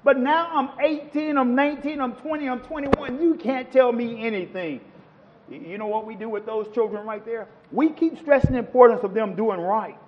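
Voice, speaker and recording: A man's voice: very high voice, moderate pace, big-room sound, dull audio, slight background noise. A man preaching a sermon to a congregation, quoting scripture with rising and falling emphasis. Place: church.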